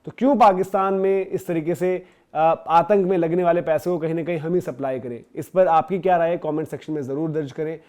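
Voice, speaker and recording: Mellow, higher-pitched man presenting news commentary. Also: fairly even intonation, brisk. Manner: emphatic, conversational, rhetorical questioning. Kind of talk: opinionated news commentary to viewers.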